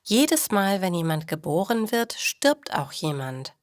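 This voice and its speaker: Storybook role: sorrowful, earnest woman, acted by a female reader, downcast, measured, dry recording. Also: on the low side, big pitch swings, no echo. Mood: sad. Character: melancholy, brooding woman, usually talkative.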